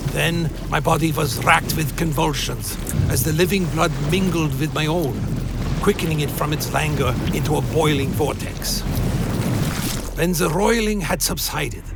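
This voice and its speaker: An actor playing a tormented vampire who narrates a gothic horror radio play in a grave, literary manner. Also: male voice, on the high side, vocal fry. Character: tormented male vampire recounting his dark journey. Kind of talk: dramatic first-person gothic narration.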